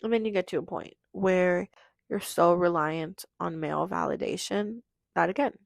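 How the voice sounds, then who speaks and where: Hoarse, gravelly teenage girl podcaster. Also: fairly low pitch, moderate pace, no echo, studio quality. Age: teenager in high school. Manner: rambling, chatty, heavy on "like". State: hoarse, losing her voice. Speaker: podcast host. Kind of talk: casual confessional monologue.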